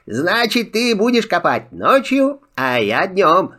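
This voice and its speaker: A man voicing a sly, chatty little male rabbit trickster in a filmstrip audio drama, talking in a wheedling, flattering way. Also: high-pitched, slow pace, full character voice. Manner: wheedling, flattering, sing-song.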